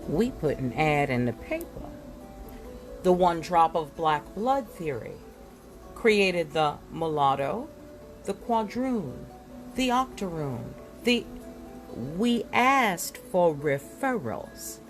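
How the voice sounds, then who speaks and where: A woman reading a nonfiction book aloud in a dramatic, deliberate way, leaning on words and leaving emphatic pauses. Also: fairly low pitch, very animated, soft background music. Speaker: book reader.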